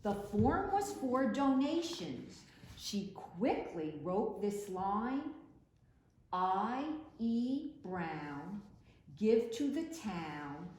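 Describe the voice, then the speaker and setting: A woman reading picture books aloud for children in an expressive, deliberate storytelling delivery, recorded in a roomy space. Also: mid-range voice. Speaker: children's librarian, storytime reader. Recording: roomy echo with faint background noise.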